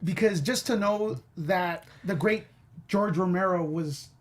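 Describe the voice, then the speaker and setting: A man's voice: high-pitched, measured pace. A man talking casually as a panelist on a film review show.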